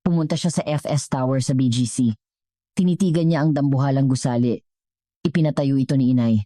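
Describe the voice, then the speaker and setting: A woman's voice: extremely low pitch, fairly quick, dark tone, no room sound, clean studio sound. A woman narrating a scripted dramatic story as a storyteller.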